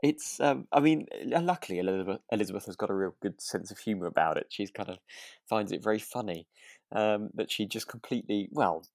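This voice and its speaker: Low-voiced British man, casual podcast co-host. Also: expressive, brisk, darkish tone, no room sound, studio quality. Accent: British English. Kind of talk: casual chat about a radio drama.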